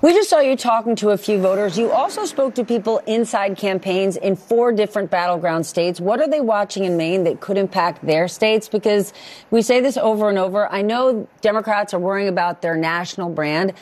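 A woman's voice: medium pitch, brisk, darkish tone. A woman anchoring a cable news panel, introducing guests and bantering with them.

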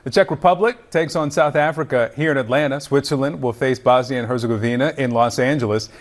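A man hosting a news program, interviewing a guest and then reading sports headlines in a brisk, conversational way. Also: medium pitch. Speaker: news anchor.

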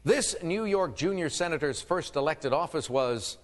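A quiz show host, a man whose higher voice swings up and down with animated energy. He reads clues briskly and drops playful, teasing remarks to the contestants. His voice rings with a reverberant studio sound. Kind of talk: game show hosting with banter.

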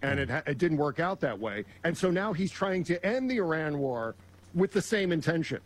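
A man's voice: on the high side, sing-song, normal speed. A man talking politics as a guest on a talk show, emphatic and repeating words as he builds his points. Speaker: guest commentator. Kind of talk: casual political chat.

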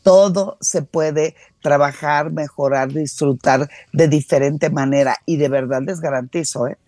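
A woman's voice: sits low, fairly even intonation, unhurried, warm tone, no room sound. A middle-aged woman, giving conversational expert advice and repeating words emphatically for stress. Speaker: sexologist, expert guest.

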